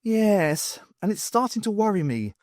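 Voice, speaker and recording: A man's voice: sits high, big pitch swings, a little slow, a little mellow, dry recording, studio quality, voice pushed up. A man voicing a wry, friendly woman academic with a teasing manner in an audiobook. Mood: friendly, concerned.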